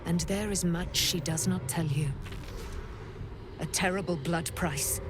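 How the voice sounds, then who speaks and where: An actress playing Nightsong, a calm, sorrowful, commanding ageless woman, speaking slowly and solemnly in a video game. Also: fairly low pitch, noisy background, close to an everyday voice. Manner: slow, solemn, knowing delivery.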